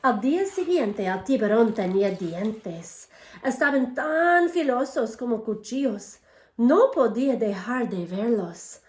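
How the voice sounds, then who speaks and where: Woman, teacher, reads picture book to children, English and Spanish, asks questions, higher voice, roomy echo. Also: lively intonation, measured pace, darkish tone. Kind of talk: bilingual read-aloud for children. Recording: echoey room.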